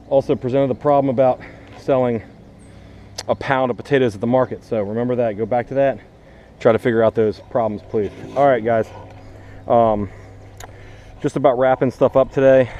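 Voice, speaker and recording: A man's voice: medium pitch, steady tone, moderate pace, pauses often, dark tone, lo-fi sound, slight background noise. A man hosting a casual how-to vlog, talking directly to viewers and explaining step by step like a teacher. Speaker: vlog host, teacher and gardener. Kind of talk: casual instructional vlog talk.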